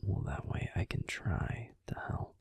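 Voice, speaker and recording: A man's voice: unhurried, lightly acted. A man voicing a grumpy but tender male werewolf boyfriend in a whispered bedtime audio roleplay, fussing protectively and then soothing. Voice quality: gruff and soft whispering.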